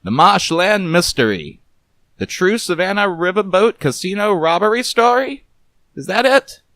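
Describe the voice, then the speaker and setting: Man acting a wry, weary noir ex-cop, pitched up. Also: very animated, unhurried, a bit bright, no echo, studio quality, heavily acted. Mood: guessing. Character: weary, good-natured ex-cop riverboat captain, scruffy wisecracking man.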